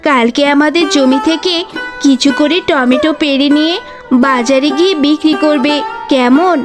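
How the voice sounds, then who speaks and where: A gentle mother fox acted by a woman speaks in a high, earnest voice. It is tender cartoon storytelling with light music behind it. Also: normal speed, lightly acted. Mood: pleased. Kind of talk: children's cartoon voice acting.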